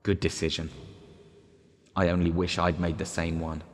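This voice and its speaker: An actor playing a disembodied recorded guide in a one-act drama, speaking in a measured, deliberate, persuasive way. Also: male voice, low voice, completely flat delivery, natural voice. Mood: calm, formal. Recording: long hall reverb, loud music behind.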